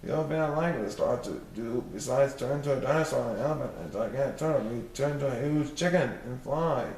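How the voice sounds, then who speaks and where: A man's voice: mid-range voice, expressive, quick pace, darkish tone, close to an everyday voice. A man voicing a cheerful, reckless father in a children's storybook, breezy and unbothered. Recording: roomy, distant mic, faint noise.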